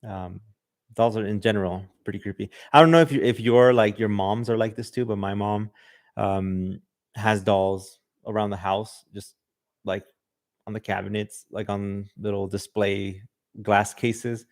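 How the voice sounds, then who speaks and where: A man's voice: fairly low pitch, steady tone, measured pace. A man from Southern California with a slight Mexican accent, a podcast host chatting loosely on a livestream and reading viewer comments, with lots of "like" and "um". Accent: Mexican Spanish influence. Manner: loose and chatty, many "like" and "um" fillers. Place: livestream in front of a camera.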